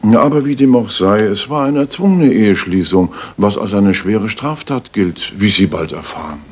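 An actor playing a keen, precise, authoritative gentleman detective in a German radio drama from the 1980s. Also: on the low side, lively intonation, moderate pace, slightly rough. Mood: commanding. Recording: slightly noisy retro radio recording.

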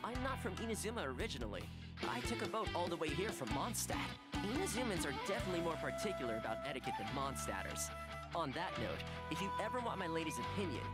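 A man's voice: a little high, very animated, quick pace, loud music behind the voice. An actor playing a friendly, courteous young male housekeeper-warrior in an anime fantasy game monologue. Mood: cheerful, friendly. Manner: courteous, easygoing, upbeat. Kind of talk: video game character monologue.

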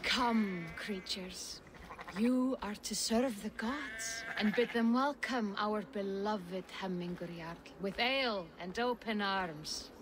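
A woman acts out a solemn Norse seeress for a fantasy game. She speaks slowly and portentously, every phrase archaic and cryptic. Clear background noise of game ambience sits behind her voice. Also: medium pitch, close to an everyday voice. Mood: commanding. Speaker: seer character in a video game. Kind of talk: dramatic video game acting.

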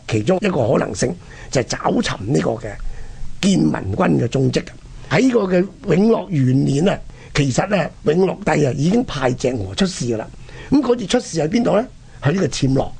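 A man's voice: on the high side, animated, brisk, gravelly, darkish tone. A man with a Hong Kong accent hosting a history programme, telling stories colloquially and posing rhetorical questions. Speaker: radio history programme host. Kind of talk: animated historical storytelling.

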